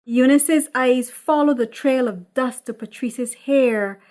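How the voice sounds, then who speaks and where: A woman's voice: sits high, slow, darkish tone. A woman reading a screenplay aloud as narrator, delivering scene headings and action in scripted narration.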